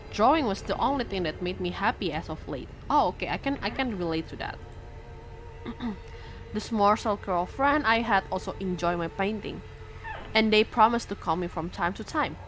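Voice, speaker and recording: A young woman with an Indonesian accent, reading a visual novel aloud on stream and breaking off into animated reactions in English and Indonesian. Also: medium pitch, a little hiss or hum. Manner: animated, switches between English and Indonesian, breaks into excited outbursts. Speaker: virtual streamer.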